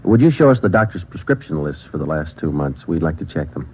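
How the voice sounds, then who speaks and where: An actor playing a terse, businesslike police detective with an American accent, narrating and talking in a clipped, deadpan way in 1940s radio crime drama style. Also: male voice, extremely low pitch, brisk, slightly rough, full character voice. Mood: polite, businesslike. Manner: clipped, matter-of-fact, deadpan. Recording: muffled vintage radio recording with music.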